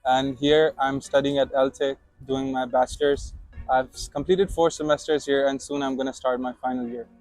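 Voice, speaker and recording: A man's voice: medium pitch, flat delivery, moderate pace, darkish tone, no echo, soft background music, poor audio. A man in his twenties from Pakistan, a student intern, explaining his work at length in technical terms. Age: 20s.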